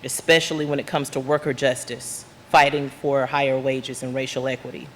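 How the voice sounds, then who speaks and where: A woman from Georgia, a labor activist, giving a loud and earnest talk from the heart to a church congregation. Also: a bass voice, little pitch movement, normal speed. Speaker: guest speaker, labor union activist. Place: church sanctuary. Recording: long hall reverb, faint room noise.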